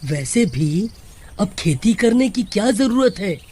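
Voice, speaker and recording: A woman's voice: medium pitch, sing-song, takes it slowly, mellow, a little hiss or hum. A woman voicing a greedy, cowardly male village farmer in a Hindi cartoon, with a wheedling, exaggerated manner. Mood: greedy glee.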